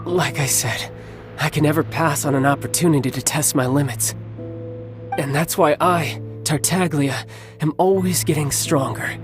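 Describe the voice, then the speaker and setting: A man acts out a young video game warrior with a self-assured, playful air. He is a doting older brother who talks smoothly and teases as he goes. Soft music plays behind him. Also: on the high side, moderate pace, lightly acted. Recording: background music under the voice.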